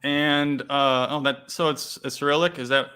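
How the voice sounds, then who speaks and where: A man's voice: a little high, fairly even intonation, moderate pace, pushed and bright, smooth. Hesitant male interview host. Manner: hesitant, many fillers and restarts. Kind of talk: casual interview.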